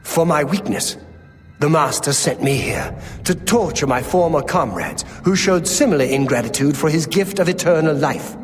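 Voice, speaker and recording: An actor playing a regretful undead cultist in a fantasy game, speaking in an earnest, dramatic, haunted manner. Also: male voice, on the high side, lively intonation, normal speed, close to an everyday voice. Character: regretful male undead cultist, once a raider, pleading. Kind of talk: theatrical fantasy game dialogue. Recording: reverberant hall, faint music behind.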